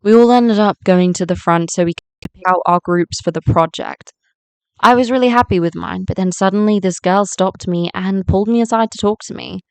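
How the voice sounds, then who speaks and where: Bubbly schoolgirl heroine played by a woman, clean smooth voice, dry close sound, first-person story narration. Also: medium pitch, fairly quick, a little mellow, dry recording, natural voice. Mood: earnest narration. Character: excited, bubbly new scholarship schoolgirl narrating her story.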